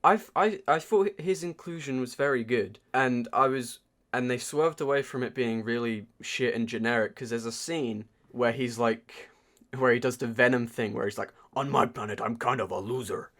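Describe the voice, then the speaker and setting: A man's voice: mid-range voice, normal speed, a bit bright. A man co-hosting a podcast, reviewing films in a casual, informal way as he thinks aloud. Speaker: podcast co-host. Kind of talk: casual film review chat.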